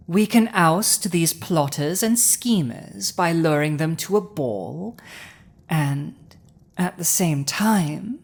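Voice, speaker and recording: Woman voicing a slow, low-voiced proud dragoness. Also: exaggerated voice. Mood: proud, playful. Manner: languid, theatrical, haughty delivery with dramatic pauses. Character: proud villainous female dragon ruler, dominant, teasing. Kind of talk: scripted intimate roleplay audio drama.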